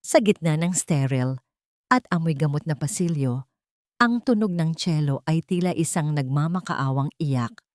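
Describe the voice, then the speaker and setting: A woman narrating a scripted audio drama in a steady, dramatic storytelling manner. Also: low-pitched, moderate pace, no echo.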